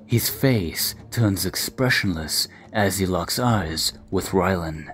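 A man narrates scripted action for an audio drama. His voice is notably deep. Light music plays behind him. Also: slow pace, a bit bright.

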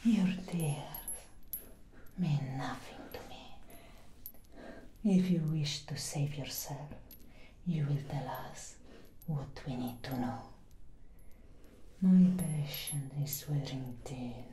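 A woman acts out a menacing female elder vampire in an ASMR roleplay. Her whispery voice is low and unhurried, full of cold command. It sounds in a roomy echo with faint noise. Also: mellow. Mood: cold menace. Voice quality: breathy, whispery. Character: non-human vampire. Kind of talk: soft-spoken ASMR roleplay.

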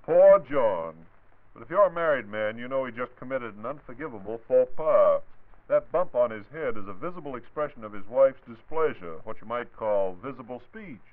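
A man's voice: mid-range voice, animated, moderate pace. An American man hosting a scripted 1940s radio show in a droll, theatrical way with ornate wording. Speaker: radio host. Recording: tinny, phone-like 1940s radio recording.

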